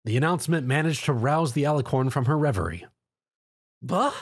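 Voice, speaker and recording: A man's voice: mid-range voice, expressive, fast talker, husky. A man narrating a scripted story in a dramatic storytelling style.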